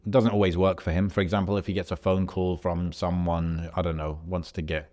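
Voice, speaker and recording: A man from Algeria, a podcast co-host, telling a travel story in a relaxed, rambling way with slang and fillers. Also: low voice, a little fast. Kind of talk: casual podcast chat.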